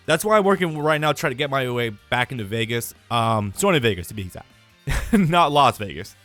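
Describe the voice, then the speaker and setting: A young man with a tired, raspy voice, talking casually and rambling to his gaming channel's viewers, with lots of fillers and some swearing. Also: mid-range voice, very animated, brisk, fairly bright tone, faint music behind. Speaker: gaming YouTuber. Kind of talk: casual year-review vlog.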